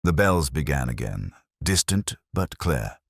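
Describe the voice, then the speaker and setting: A man playing a melancholy, world-weary English police inspector, narrating his own story in a measured, literary, reflective way. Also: extremely low pitch, sing-song, fairly bright tone, husky, no echo, studio quality, exaggerated voice. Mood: reflective, resigned. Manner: measured, brooding, literary delivery. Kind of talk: scripted first-person narration, literary detective drama.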